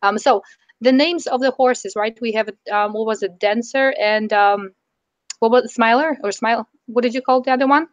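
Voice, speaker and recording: A woman's voice: on the high side, normal speed, no room sound. A woman with a strained voice after nearly losing it, offering tentative ideas in a casual book club chat with many ums.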